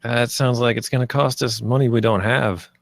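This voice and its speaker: A man's voice: mid-range voice, fairly even intonation, moderate pace, gravelly, no room sound, clean studio sound, close to an everyday voice. A man playing an earnest, idealistic theme park planner in a screenplay table read, a little hesitant. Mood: wry. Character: earnest, idealistic male theme park planner named Marty, nervous, visionary.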